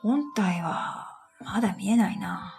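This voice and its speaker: A woman's voice: mid-range voice, expressive, takes it slowly, gravelly. A woman narrating a scripted first-person story for a radio drama in an introspective, matter-of-fact manner. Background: music.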